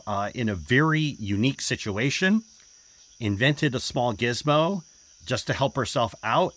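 A man hosting an interview show, introducing his guest in an upbeat, energetic way and often restating his questions. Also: medium pitch. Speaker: podcast host.

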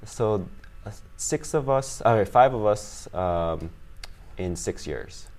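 A man, talking as an interview guest, halting with many restarts and fillers. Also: lowish voice, expressive, darkish tone. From Chicago, USA. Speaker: guest, finance executive. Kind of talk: casual interview conversation.

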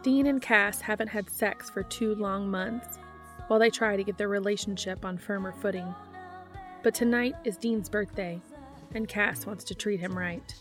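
A woman's voice: medium pitch, normal speed, fairly bright tone, faint music behind. A woman hosting and narrating fan fiction in a scripted read-aloud style. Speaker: podcast host and fan fiction reader.

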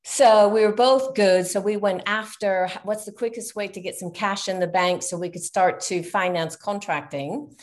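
A middle-aged woman with an American accent, a business founder guest on a podcast call, telling her story in an animated, chatty way. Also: mid-range voice, moderate pace, few pauses. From United States. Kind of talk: casual interview over a call.

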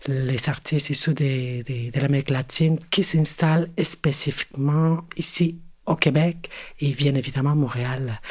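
A woman from Mexico City with a Mexican Spanish accent, answering interview questions in French with many hesitations and fillers. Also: sits low. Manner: hesitant, many pauses and "euh" fillers, restarts. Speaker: guest, cultural worker and curator.

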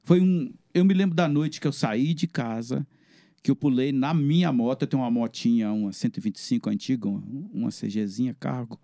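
A man's voice: mid-range voice, gravelly, dark tone, no room sound, clean studio sound. A man, a guest in an interview, talking plainly and telling his own story at length. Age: 60s. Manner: plain-spoken, evasive, drawn-out storytelling. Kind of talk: casual interview talk.

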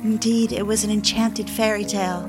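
A woman's voice: medium pitch, steady tone, moderate pace, lightly acted, poor audio. Wistful newlywed woman confiding over music an actress. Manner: confiding, literary, genteel. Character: wistful, obsessive young newlywed woman confiding her story. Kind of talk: first-person dramatic narration for an audio drama. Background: background music.

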